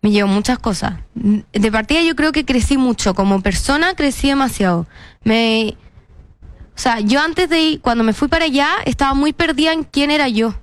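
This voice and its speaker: A woman's voice: sits high, lively intonation, moderate pace, smooth, no room sound. A young woman with a Chilean accent, chatting casually and expressively as an interview guest, often repeating words for emphasis. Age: young adult.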